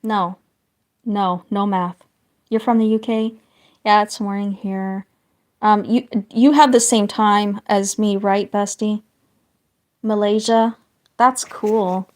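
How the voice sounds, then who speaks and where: A woman hosting a relaxed live stream, chatting away to her viewers while she draws. She talks in a meandering way and drifts into soft, quiet asides. Her voice sits at an ordinary middle pitch. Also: takes it slowly, pauses often. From northern United States. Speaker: livestream host drawing and chatting with viewers. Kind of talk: casual livestream chat.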